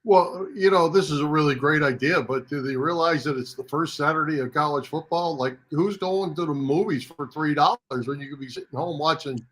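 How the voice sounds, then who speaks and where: A man's voice: on the high side, a little fast, hardly any pauses, a bit bright, rough recording. A man hosting a sports radio show in a casual, emphatic way, often repeating his phrases.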